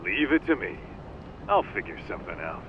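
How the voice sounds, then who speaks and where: Game sidekick: easygoing, confident, lively inflection, muffled sound with noise. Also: male voice, lowish voice, normal speed. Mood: breezy. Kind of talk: English video game dub acting.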